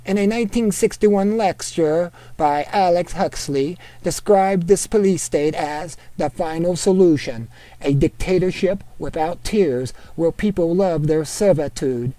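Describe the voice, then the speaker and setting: A man reading an article aloud in a put-on Norwegian accent, steady and deliberate. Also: a little high, no echo, lightly acted.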